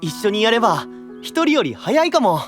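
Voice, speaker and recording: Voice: mid-range voice, very animated, normal speed, faint music behind, natural voice. An actor voicing a friendly, eager teenage boy knight with casual, openly curious speech in an anime-style audio drama. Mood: eager, determined.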